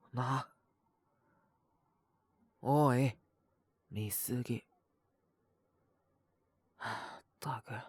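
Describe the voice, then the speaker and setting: Man acting as a gruff, sullen young wolf beastman. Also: medium pitch, no room sound. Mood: uneasy, grumbling. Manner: blunt rough speech, curt, grumbling. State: sick with a cough, acted. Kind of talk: Japanese audio roleplay voice acting.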